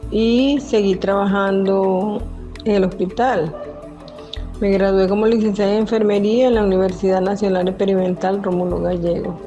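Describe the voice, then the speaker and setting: An older woman, a retired nurse from the Venezuelan Amazon, calmly narrating her own life story in the first person. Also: medium pitch. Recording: dull lo-fi voice-over with music. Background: music.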